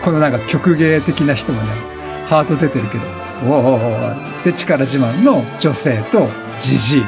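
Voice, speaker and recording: A man hosting a let's play with excited, exclamatory commentary, often repeating words in bursts. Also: mid-range voice, moderate pace, over music. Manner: laughs often while talking.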